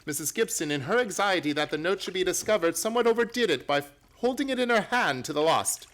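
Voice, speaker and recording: An actor playing a brisk, self-assured gentleman detective in an old-time radio play, theatrical and precise in his formal explanations. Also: high voice, expressive. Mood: assured, explanatory.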